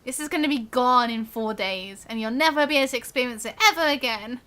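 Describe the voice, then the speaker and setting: A woman's voice: high-pitched, normal speed, pushed and bright, a little hiss or hum. A giggly, chatty woman giving casual live commentary while streaming a video game. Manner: giggly, chatty, reacts to chat.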